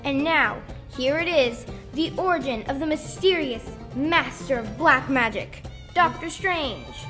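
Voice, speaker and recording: A woman's voice: high-pitched, lively intonation, unhurried, a bit bright, soft background music. A teenage girl acting as a theatrical narrator of a comic-book radio play. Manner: theatrical, announcing.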